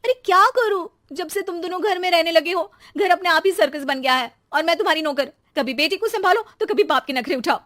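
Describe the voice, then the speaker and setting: A woman voicing an exasperated, sarcastic mother who nags and shouts in an animated cartoon story. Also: brisk, few pauses. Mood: fed up. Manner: shrill shouting, sarcastic nagging. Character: exasperated, scolding Indian mother and housewife, sarcastic.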